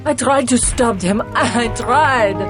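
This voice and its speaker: Freaked out survivor lady in a game, high voice, rambling n bitter, music blaring behind. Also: slow. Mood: distraught. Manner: agitated, repetitive, fragmented. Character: distraught, frightened woman survivor, bitter and rambling. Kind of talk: video game voice acting.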